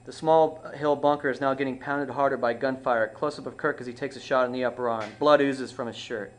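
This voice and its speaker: A man's voice: medium pitch, fairly even intonation, fairly quick, slight background noise. A man reading screenplay stage directions aloud at a table read, plainly, with small stumbles and self-corrections. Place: small dim indoor room.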